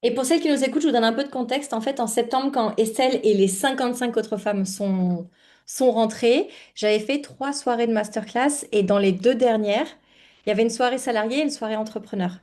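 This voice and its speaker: Woman podcast host, higher voice, slangy. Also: brisk, dark tone. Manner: informal, slangy, sprinkles in English words. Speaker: podcast host and money coach. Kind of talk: casual conversational interview in slangy French.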